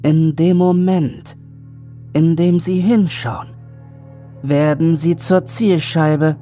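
Eerie boy voiced by a woman, calm beyond his years, dark mellow tone, slow delivery, horror audio drama, music underneath. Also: deep voice. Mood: grave, instructive. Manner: measured, matter-of-fact, unnervingly adult. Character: calm, self-assured, eerie supernatural young boy.

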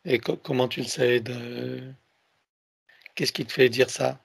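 A man in his sixties, a hypnotherapist on a headset video call, asking calm probing questions between short acknowledgments. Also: medium pitch, slow pace, creaky, husky, no echo, studio quality. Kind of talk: therapy intake interview.

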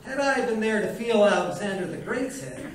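A grandiloquent old male quack, put on by a man reading aloud, holds forth with lofty, pompous dignity. The voice sits a little high and rings out through a very echoey room. It is heard from a distance with faint noise underneath. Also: moderate pace, lightly acted. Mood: grandly trembling. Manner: haughty, grandiloquent.

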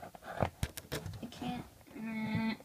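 A girl rambling through blooper outtakes, laughing at her own slip-ups and stumbling over words. Her voice sits somewhat high. Faint noise hums in the background. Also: completely flat delivery, extremely slow. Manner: self-mocking, giggly, rambling. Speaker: toy skit creator.

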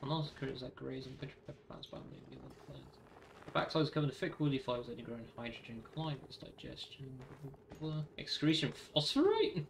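A man's voice: mid-range voice, fairly even intonation, fast talker, creaky, a little hiss or hum. A man giving casual gameplay commentary, thinking aloud in a rambling way and reading game text out. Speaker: gaming video host. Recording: roomy echo, faint music behind.

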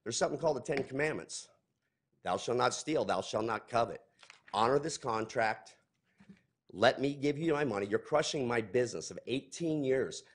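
Angry guy at a council podium mic, pointed and emphatic, mid pitch. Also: animated, normal speed. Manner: heated, accusing, emphatic. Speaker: public commenter. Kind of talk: angry public comment. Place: large council chamber.

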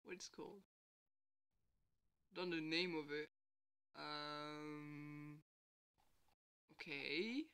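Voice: medium pitch, very slow, pauses often, a bit bright, smooth. A vlogger sick with a bad cold, chatting casually at home in a rambling way and laughing often. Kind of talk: casual late-night vlog chat. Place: indoors at home.

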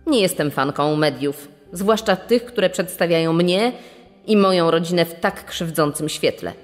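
Casting: actress as resigned, aggrieved wife, lowish female voice, reverberant, true crime podcast. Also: measured pace, natural voice. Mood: sad, aggrieved. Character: aggrieved, resigned wife from a scandal-hit family. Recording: long reverb, music behind.

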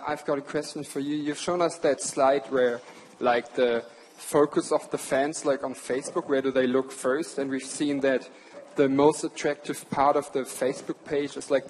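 A man in the audience asking a panelist a question earnestly, often filling in with "like". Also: medium pitch. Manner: earnest, uses filler "like". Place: large conference hall. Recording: hall reverb, faint noise.

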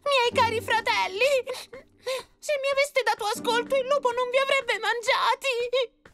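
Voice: very high voice, a little slow, music in the background. A performer voicing a small, wary young goat kid in a children's fairy-tale cartoon, earnest, childlike and pleading. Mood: sorrowful.

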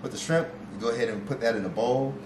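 A man's voice: medium pitch, quick pace. Young man chef walking through a recipe. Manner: steady, instructive, with fillers like "you know". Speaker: guest chef. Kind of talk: casual cooking demonstration. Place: large home kitchen. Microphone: far camera. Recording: echoey kitchen, noisy.

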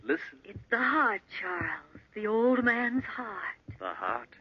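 Actors voicing the eerie, taunting inner voices of a murderer's guilt in a 1940s radio drama, echoing in whispers. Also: male voice, high-pitched, wildly swooping pitch, slow pace, full character voice. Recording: muffled old radio broadcast.

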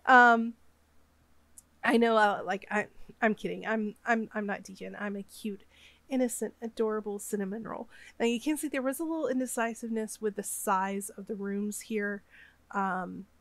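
A woman's voice: mid-range voice, normal speed, a bit bright, no echo. A woman with a Southern US accent, sick and sniffly, chatting casually over her game build with lots of ums and uhs. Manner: rambling, chatty, many fillers.

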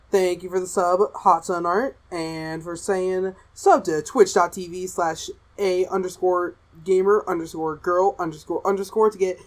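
A woman playing an excitable, mischievous gamer girl streamer who gleefully torments people in a livestream roleplay. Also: on the low side.

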